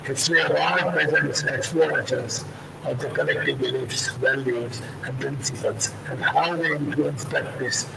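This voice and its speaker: An older man from India with a Gujarati-influenced accent, reading a prepared talk on a video call in a slow, halting way. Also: mid-range voice, fairly even intonation, creaky, echoey room, distant mic, background noise. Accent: Gujarati-influenced English. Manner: slow and halting, with many uh pauses. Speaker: sculptor and panel speaker. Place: room at home, indoors. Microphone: laptop mic on a video call.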